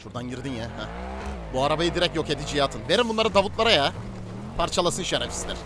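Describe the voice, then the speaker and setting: A man's voice: on the high side, animated, fast, gravelly. A man streaming a roleplay game, chatting casually in slangy banter and bossing his crew around. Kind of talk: casual gaming livestream chat. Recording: game car engine noise behind voice. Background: video game vehicle sounds.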